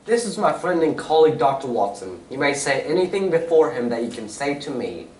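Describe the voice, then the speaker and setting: A distant, roomy stage recording of a man playing a self-assured detective in an old-style radio play. He is urbane and precise, lecturing with lively swings of pitch. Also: fairly quick, natural voice. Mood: composed, knowing. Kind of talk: stage reading of a golden-age radio drama.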